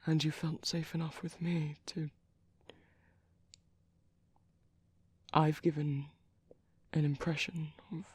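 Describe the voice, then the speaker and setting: A man playing a gentle, caring vampire priest in a whispered ASMR roleplay, speaking slowly and tenderly with soft, hesitant reassurance. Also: fairly high pitch, little pitch movement, dry recording. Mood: tentative, tender. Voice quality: soft, breathy, husky whisper.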